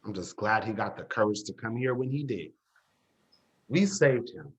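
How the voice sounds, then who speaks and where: Man acting defiant young man, grieving, sarcastic bite, confrontational drama dialogue, lively swinging intonation. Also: fairly low pitch, normal speed, close to an everyday voice. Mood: accusing.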